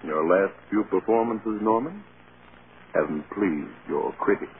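An actor playing a patient, tactful male film producer, measured and frank, in a vintage radio drama. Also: sits low. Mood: reluctant, frank. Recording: scratchy, tinny old radio broadcast.

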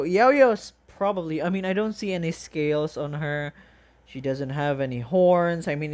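A reaction video host chatting casually and excitedly in a rambling, exclaiming, informal way. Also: medium pitch.

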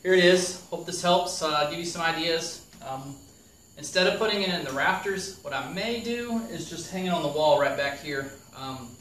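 A man's voice: medium pitch, distant mic, a little hiss or hum. A middle-aged man casually explaining a woodworking build in his garage workshop, with plenty of ums. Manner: relaxed, explanatory, frequent ums. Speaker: DIY vlogger. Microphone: camera mic from a distance. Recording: echoey garage, off-mic.

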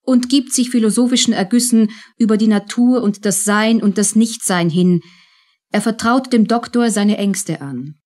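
A woman's voice: on the high side, normal speed, darkish tone. A woman reading scripted narration as the narrator of a radio drama.

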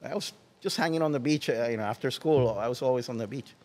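Relaxed older man with a Puerto Rican Spanish accent. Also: fast talker, in a large hall. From Puerto Rico. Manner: relaxed, reclining, trailing off into soft low asides. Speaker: guest. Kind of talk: casual interview. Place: large watersports shop, indoors. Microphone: headset.

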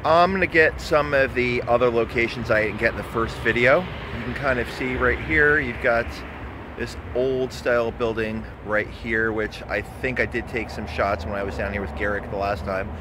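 A middle-aged man talking to a handheld camera at arm's length as he walks a city street, in a relaxed, rambling way. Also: mid-range voice, moderate pace. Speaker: photography vlogger. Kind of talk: casual walking vlog. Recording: outdoor street noise.

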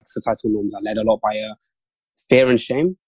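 A young man whose first language is Urdu speaks fast and loosely, piling on fillers and restarts. His voice sits a little low. The recording is close and dry. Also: steady tone, a little mellow, slightly rough, no room sound, poor audio. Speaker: interview guest, junior doctor. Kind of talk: casual interview talk.

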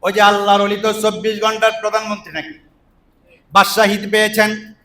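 A man preaching a story sermon, swinging between dramatic drawn-out calls and pleading phrases. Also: high voice, level tone, sharp tone. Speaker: Islamic preacher. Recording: echoing PA-style sound.

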